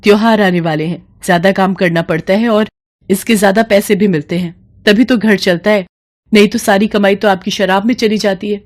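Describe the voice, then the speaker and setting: A woman's voice: mid-range voice, fairly even intonation, moderate pace, lightly acted. A woman voicing a long-suffering but defiant village wife and mother in a melodramatic audio story, plaintive and aggrieved. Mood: indignant.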